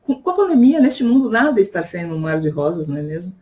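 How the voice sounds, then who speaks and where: A woman's voice: lowish voice, sing-song, quick pace, darkish tone, muffled recording. Chatty Brazilian-born woman vlogging. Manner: chatty, reflective, frequent tag questions. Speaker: vlogger and tarot reader. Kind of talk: casual personal vlog monologue.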